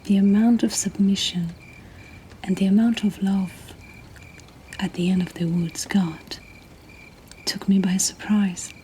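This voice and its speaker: A woman who is not a native English speaker reads poetry as a host in a soft, breathy, gentle whisper, in an ASMR style. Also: mid-range voice, steady tone, low-quality recording. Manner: slow, gentle, deliberate delivery. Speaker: host reading submitted poetry. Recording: nighttime cricket ambience behind, faint noise. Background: crickets and insect ambience.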